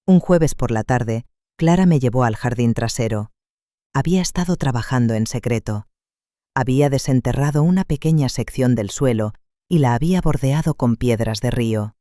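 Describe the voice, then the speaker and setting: A man narrating a first-person story in a calm, measured, reflective way. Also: mid-range voice, dry recording, studio quality.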